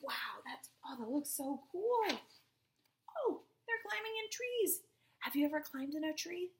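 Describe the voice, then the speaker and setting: A young woman reading a picture book aloud to small children, breathy and often near a whisper, with encouraging exclamations and simple questions. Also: sits high, very animated, measured pace, a little hiss or hum.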